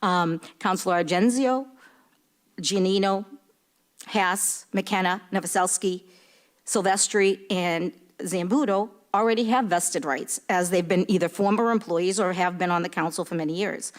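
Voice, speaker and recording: A woman's voice: on the low side, unhurried. A woman in her fifties speaking as a city councilor at a meeting, plain-spoken and arguing her point. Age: 50s. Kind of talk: formal remarks at a council meeting. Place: indoor public council meeting room.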